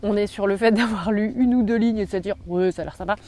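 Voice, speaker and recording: A woman's voice: mid-range voice, quick pace, darkish tone. A young woman chatting casually in a book vlog, rambling with many fillers. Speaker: book YouTuber.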